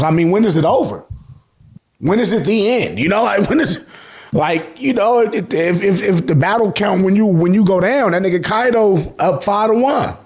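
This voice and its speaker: An American man giving a casual, joking recap as a channel host, full of slang and swearing and acting out dialogue. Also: high-pitched. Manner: slangy, profane, joking, animated. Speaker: host of an anime and manga review channel.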